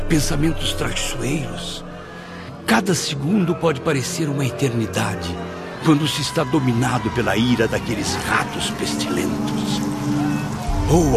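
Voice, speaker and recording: An actor playing a tormented, despairing male spirit in a radio drama, dramatic and full of anguish. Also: medium pitch. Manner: dramatic, anguished, erupting into cries. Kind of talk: radio drama acting with solemn narration.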